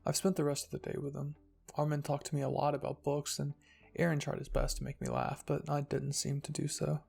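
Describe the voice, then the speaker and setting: A narrator with a husky, creaky voice reading fan fiction in a soft scripted storytelling style. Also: mid-range voice, completely flat delivery, a little fast. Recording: music behind the voice.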